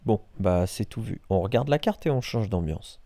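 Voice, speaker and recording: A man hosting a travel vlog, narrating in a relaxed, wry tone that speaks straight to the viewer. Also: fairly low pitch, normal speed, slight background noise. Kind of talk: voice-over narration.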